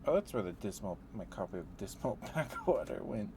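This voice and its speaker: A man's voice: fairly low pitch, sing-song, a little fast. A man streaming rambling, hesitant ASMR chat in a meandering way, close to the microphone. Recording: clearly noisy room ambience.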